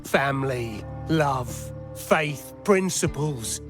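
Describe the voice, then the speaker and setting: A weary game character, bitter and full of grief. He speaks in a medium-pitched voice with measured, resigned drama. Music plays clearly behind him. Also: animated. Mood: grieving, bitter. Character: weary, bitter man grieving his lost friend and past. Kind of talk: scripted video game voice acting.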